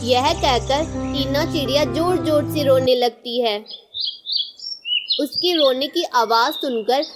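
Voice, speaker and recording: High-voiced woman narrating children's stories. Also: slow, loud music behind the voice. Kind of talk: scripted children's story narration.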